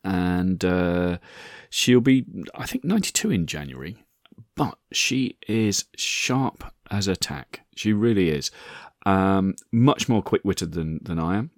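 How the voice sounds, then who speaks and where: A hoarse man in his sixties, hosting a podcast and telling a rambling personal story with many repeated words. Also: sits low, sing-song, takes it slowly, no room sound, studio quality. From England. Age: 60s. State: hoarse, croaky voice. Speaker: podcast host. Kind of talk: casual anecdotal monologue.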